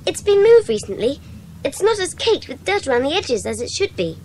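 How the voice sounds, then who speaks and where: A woman's voice: high voice, big pitch swings, fairly quick, darkish tone, slight background noise. A woman playing a keen, loyal schoolboy with a British accent and an eager manner in a children's audio drama. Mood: excited. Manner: eager, exclamatory.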